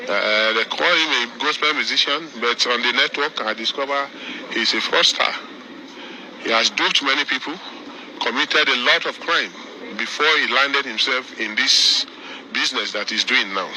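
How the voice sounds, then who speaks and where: A man speaking as the victim's uncle, giving a halting statement to reporters with many restarts. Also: medium pitch, pushed and bright, slight background noise, rough recording.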